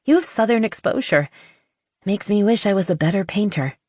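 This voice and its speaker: A spirited woman artist voiced by an audiobook narrator, talking in a frank, animated, playful way. She is chatty and a little stubborn. The recording is dry and intimate. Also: mid-range voice, a little fast, husky, no echo, voice pushed up. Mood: chatty, enthusiastic. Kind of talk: audiobook character dialogue.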